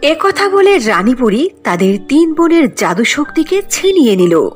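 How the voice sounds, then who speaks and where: A woman's voice: high-pitched, very animated, measured pace, faint music behind. A woman narrating a scripted fairy tale.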